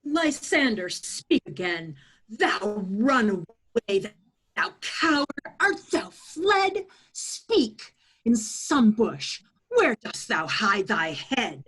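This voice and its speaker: A woman acts out a hot-headed young suitor in a Shakespeare play. The delivery is slow but very lively, rising and falling with emphatic declamation, in a slightly high voice. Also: husky, exaggerated voice. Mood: angry, challenging. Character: hot-headed young male lover, challenging his rival. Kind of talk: Shakespeare table read in verse.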